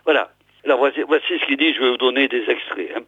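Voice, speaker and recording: A hoarse man hosting a regional radio show, hesitantly introducing and reading out old press articles with many fillers and restarts. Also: medium pitch, quick pace, no echo. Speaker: radio host. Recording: tinny phone-like radio sound, dry.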